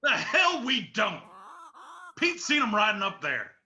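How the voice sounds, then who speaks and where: An actor playing a hot-headed, vengeful young mountain son in a radio drama, with an Appalachian accent. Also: male voice, high-pitched, wildly swooping pitch, unhurried, bright, forceful tone, exaggerated voice. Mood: angry accusation.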